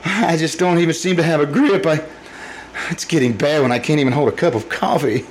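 A man's voice: fairly high pitch, brisk, natural voice. A man playing a haunted, anxious husband in old-time radio style, with a hesitant, stammering delivery. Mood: weary, uneasy. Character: anxious, haunted, haggard married man fearing himself.

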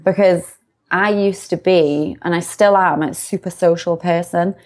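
Young uk woman guest chatting about herself, bubbly, lowish voice. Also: fairly even intonation, measured pace. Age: young adult. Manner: bubbly, upbeat, self-reflective. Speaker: guest, success coach. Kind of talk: casual interview chat.

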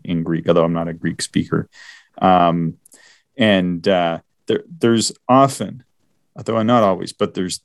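A man's voice: low voice, creaky, dry recording. A man co-hosting a casual astronomy podcast, rambling with many fillers and restarts. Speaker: podcast co-host.

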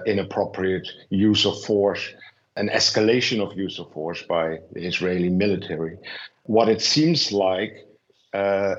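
A man from the Netherlands giving formal, measured interview answers as a guest expert, repeating words for emphasis. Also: low-pitched, highs cut off. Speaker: interview guest, policy advisor.

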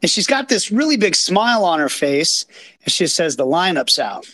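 A hoarse man from Kansas City hosting a live post-match reaction, talking in an excited, rambling, self-deprecating way from a green room backstage. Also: high voice, moderate pace. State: hoarse from yelling. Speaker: podcast host. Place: green room behind an outdoor stage. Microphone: phone.

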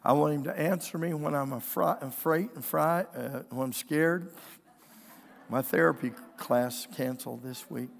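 A man's voice: on the high side, a little slow, gravelly, a little hiss or hum. A man preaching a conversational sermon as a pastor, folksy and earnest, his voice strained and weakened, often asking the congregation for an amen. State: strained, weakened voice with throat clearing. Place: indoors, preaching in a church. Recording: church hall with long reverb.

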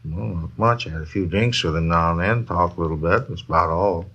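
An actor playing an evasive middle-aged barfly in a 1950s radio drama, hesitant and repetitive, with a gravelly voice. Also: male voice, deep voice, brisk, fairly bright tone, lo-fi sound, full character voice. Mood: sly, wheedling. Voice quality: gravelly, husky.

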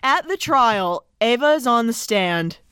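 A woman's voice: fairly high pitch, lively intonation, a little slow, fairly bright tone, slight background noise. A woman co-hosting a podcast, recapping a movie in casual, earnest chat. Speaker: podcast co-host.

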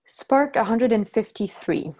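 Woman, from France, workshop facilitator, halting, frequent restarts, explaining slowly. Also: fairly high pitch, expressive, darkish tone. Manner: halting, many restarts and self-corrections. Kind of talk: teaching a group, reading from notes.

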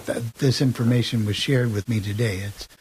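A man hosting talk radio, speaking earnestly and a little haltingly. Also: mid-range voice, steady tone, darkish tone. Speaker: radio show host. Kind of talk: casual talk radio announcement. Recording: slight hiss.

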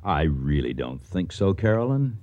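A man's voice: deep voice, big pitch swings, normal speed, lo-fi sound. A man playing a kindly, trusting older archaeologist and father in a radio drama, articulate and reassuring. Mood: amused, reassuring. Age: middle-aged or older. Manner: reassuring, gently dismissive, articulate. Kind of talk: radio drama acting with first-person narration.

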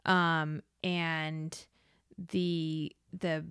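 A woman's voice: low-pitched, level tone, extremely slow, a bit bright, clear, resonant tone, dry recording, clean studio sound. A woman telling personal anecdotes in casual conversation as a podcast co-host, acting out the remarks she quotes.

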